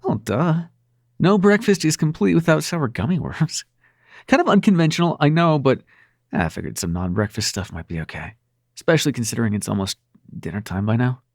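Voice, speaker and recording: A man's voice: mid-range voice, big pitch swings, fairly quick, creaky, no room sound, clean studio sound. A man playing a cocky, sarcastic demon prince with a tender side in an intimate audio roleplay, speaking casually with teasing and swearing. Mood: playful, teasing. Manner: casual, sweary, teasing, hesitant when sincere.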